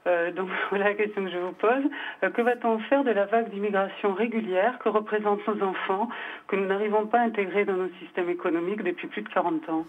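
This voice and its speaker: A woman's voice: mid-range voice, steady tone, fast. A woman calling a radio show by phone to give her opinion, emphatic and repeating her phrases. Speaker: phone-in caller. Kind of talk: spontaneous opinion on live radio. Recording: narrowband phone line.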